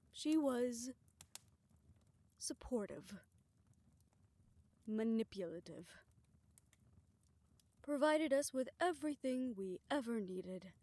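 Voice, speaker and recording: Woman acting hushed, remorseful female scientist, higher-voiced. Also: dry recording. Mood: somber, confessional. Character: remorseful female scientist haunted by her past experiments. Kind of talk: soft, intimate audio roleplay acting.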